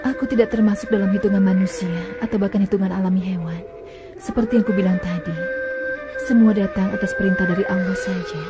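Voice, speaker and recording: A woman voicing a calm, devout mother she-camel in a dubbed cartoon, speaking softly and tenderly, with music under her lines. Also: medium pitch, fast talker, lightly acted. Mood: serene. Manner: soft, tender, soothing. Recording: music bed under dialogue.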